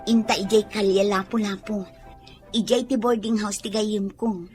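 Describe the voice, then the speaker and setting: A woman's voice: medium pitch, fairly even intonation, moderate pace, a little mellow, soft background music, natural voice. An actress in a radio drama playing a restless young rural woman eager for city life, with a Filipino accent. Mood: eager, insistent.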